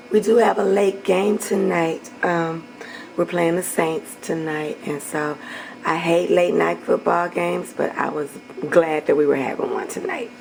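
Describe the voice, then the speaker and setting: A middle-aged woman hosts a home cooking video, her voice hoarse and gravelly. She talks to her viewers in a chatty, affectionate way, keeping her pitch fairly low. A faint hiss sits behind her in the kitchen. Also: fairly even intonation, measured pace, darkish tone, low-quality recording. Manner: chatty, affectionate with viewers, clears throat often. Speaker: cooking channel host. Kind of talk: casual cooking vlog chat.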